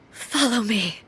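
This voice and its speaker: A woman's voice: fairly high pitch, very noisy. A woman voicing a regal divine ruler and her stern guardian, speaking in a solemn, formal way. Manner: solemn and formal, commanding battle shouts. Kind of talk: video game voice acting. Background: game music.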